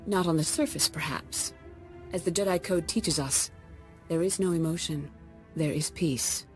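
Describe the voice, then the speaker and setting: An actress playing the serene female leader of the Jedi Council in a video game, with a measured manner. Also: low voice. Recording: game cutscene with music behind.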